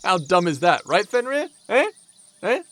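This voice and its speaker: A high, wildly animated male voice acted by a man for a jealous, teasing god in an audio roleplay. He is theatrical and mocking, quick to sound indignant. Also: heavily acted. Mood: exasperated, jealous.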